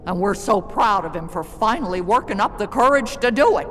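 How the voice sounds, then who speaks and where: A man's voice: high voice, expressive, brisk, a bit bright. A man playing a feisty, fond, bossy stone gargoyle in a video game, wry and emphatic. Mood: fond concern. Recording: long reverb, game music behind.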